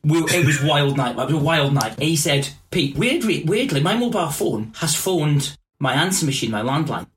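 Male comedian guest from Scotland, animated yarn-spinner with a slightly raised pitch. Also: normal speed, few pauses. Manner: animated storytelling, laughing often. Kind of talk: casual podcast chat.

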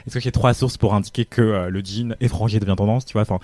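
A man in casual podcast conversation, speaking fast in a somewhat low voice. He is animated and talks with plenty of hesitations and restarts. The recording is dry and close, with no room sound. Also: no echo. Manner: animated, lots of fillers and restarts. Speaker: podcast co-host, fashion journalist. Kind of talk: casual chat.

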